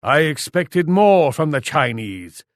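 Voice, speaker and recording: An arrogant Japanese warlord for a strategy game. His fairly high voice rises and falls dramatically as he issues haughty commands. He sounds imperious and scornful of everyone beneath him. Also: sing-song, slow, dry recording, clean studio sound. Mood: gloating, scornful. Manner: haughty, commanding, speaks of himself in third person. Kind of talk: video game villain-rival voice lines.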